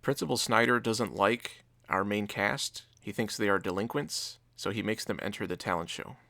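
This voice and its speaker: Lowish-voiced man recapping a TV episode. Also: moderate pace, creaky, fairly bright tone. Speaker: reviewer, co-host. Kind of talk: casual episode recap and commentary.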